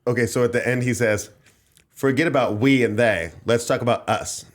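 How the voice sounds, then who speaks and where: A man's voice: mid-range voice, moderate pace, music in the background. A man hosting a podcast in casual chat, emphatic and repeating rhetorical asides. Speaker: podcast host.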